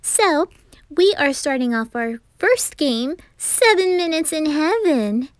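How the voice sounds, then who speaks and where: Woman doing a bubbly teen idol girl, teasing, really high pitched, dry close recording, anime roleplay. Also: very animated, takes it slowly, dry recording, heavily acted. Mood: playful, teasing. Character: perky teasing teenage pop-idol girl hosting party game. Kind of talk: hobby anime roleplay audio drama.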